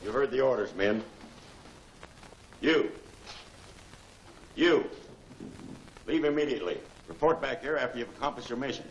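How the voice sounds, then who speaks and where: A man's voice: medium pitch, animated, moderate pace, pauses often, natural voice. An actor playing a tough, curt gangster henchman in a 1940s film serial. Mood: barking, urgent. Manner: curt, clipped orders and replies. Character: tough gangster henchman, barking at men, deferring to boss. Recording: echoey, faintly noisy 1940s film soundtrack.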